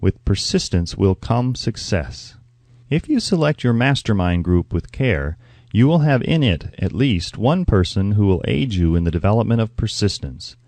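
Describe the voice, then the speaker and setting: A man reading a self-help audiobook in a measured, deliberate, instructive way. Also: lowish voice, sing-song, darkish tone, no room sound. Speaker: audiobook narrator. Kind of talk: scripted narration of a self-help book.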